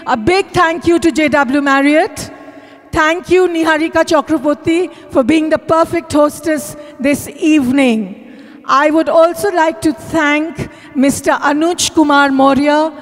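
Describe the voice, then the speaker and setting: A middle-aged Indian woman hosts a formal event on a handheld microphone. Her voice is high and she addresses the audience emphatically. Long reverb from the large ballroom surrounds every phrase. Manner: emphatic, announcing to a crowd. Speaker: event host. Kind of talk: formal vote of thanks at a live event. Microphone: handheld microphone through PA.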